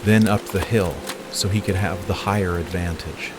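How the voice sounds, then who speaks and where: A man playing a calm, terse tracker and protector in an audio drama. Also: low voice, normal speed, noisy background, natural voice. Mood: calm, certain.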